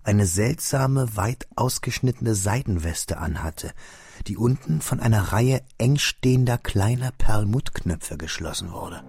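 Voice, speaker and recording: An actor playing a grown man who wistfully recalls his schooldays, narrating a radio drama in a measured, literary way. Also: lowish voice, expressive, creaky, a little mellow, slightly rough, heavily acted. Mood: quiet, reflective. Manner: measured, detailed, literary storytelling. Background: music.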